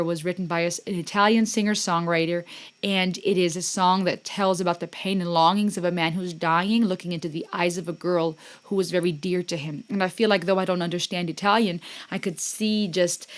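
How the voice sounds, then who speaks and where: A middle-aged woman hosting a music reaction, talking casually and warmly, often pausing with ums to search for words. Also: lowish voice. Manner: warm, gushing, searching for words with many ums.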